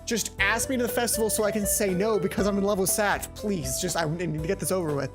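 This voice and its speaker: Male let's play host, twenties, reading story text casually, game music clearly behind. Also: high-pitched, fast. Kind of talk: reading a visual novel aloud with casual commentary.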